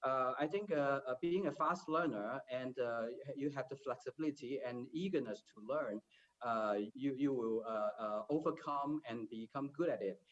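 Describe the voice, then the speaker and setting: A man on a career panel, speaking haltingly with many filler sounds. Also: mid-range voice. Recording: distant muffled remote audio.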